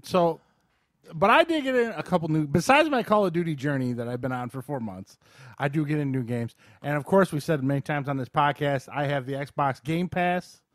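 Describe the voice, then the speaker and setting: A man with a slightly high voice talks through video games as a podcast host. The sound is very dry and close, with no room echo. His delivery is informal and slangy, explaining things in short, casual bursts. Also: fairly quick. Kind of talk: casual chat, game review.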